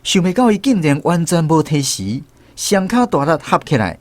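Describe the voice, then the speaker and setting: A man's voice: fairly high pitch, sing-song, normal speed, dry recording. A man with a Taiwanese accent narrating a scripted first-person story as the narrator of a radio drama.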